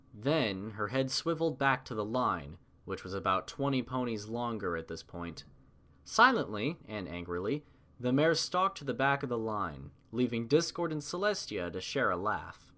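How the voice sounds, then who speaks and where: A man's voice: medium pitch, fairly bright tone. A man reading a scripted story as its narrator. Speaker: narrator of a fan fiction reading.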